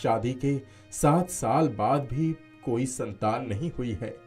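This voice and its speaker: A man voicing a poor, good-natured village farmer in an earnest, expressive storybook delivery. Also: medium pitch, takes it slowly, soft background music, lightly acted. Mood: weary worry.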